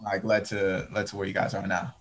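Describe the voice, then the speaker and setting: A man's voice: deep voice, level tone, fairly quick. A man hosting a casual podcast interview, talking in an upbeat, slangy way with plenty of fillers and restarts. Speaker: podcast host.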